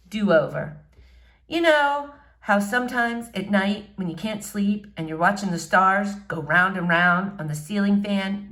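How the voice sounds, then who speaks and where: A woman reads a story aloud at a middle pitch. Her delivery is expressive, broken into short phrases with clear pauses, like someone sharing a novel with young listeners. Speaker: audiobook-style reader.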